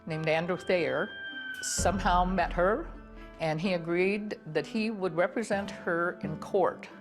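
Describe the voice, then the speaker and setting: A woman's voice: on the low side, a little slow, music in the background. A woman telling a historical account as an interviewee in a documentary.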